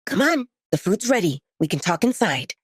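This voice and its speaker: A woman's voice: mid-range voice, big pitch swings, normal speed, husky, dry recording, studio quality. A woman voicing a friendly, informal female caretaker in an audio drama, hospitable and easygoing. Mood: welcoming.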